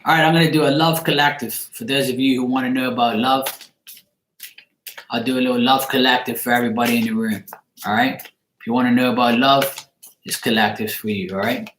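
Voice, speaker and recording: A man's voice: medium pitch, steady tone, a little fast, fairly bright tone. Echoey man chatting casually. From Bermuda. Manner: informal, blunt, joking. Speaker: livestream tarot reader. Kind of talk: casual livestream chat with viewers. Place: living room, indoors. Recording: echoey room with faint noise, clicks.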